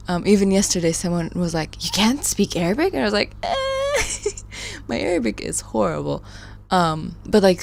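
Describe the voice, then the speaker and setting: A woman hosting a livestream, chatting casually and hesitantly, with many pauses and fillers. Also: on the low side, creaky, slight background noise. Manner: hesitant, frequent ums, laughs often. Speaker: livestream host.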